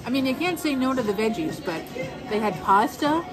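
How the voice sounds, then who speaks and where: A woman's voice: sits high, expressive, brisk. A woman in her forties chatting playfully on a food vlog, laughing often as she talks to costumed characters. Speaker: food vlogger. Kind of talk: casual restaurant vlog chat. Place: busy indoor buffet restaurant. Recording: loud music and crowd behind.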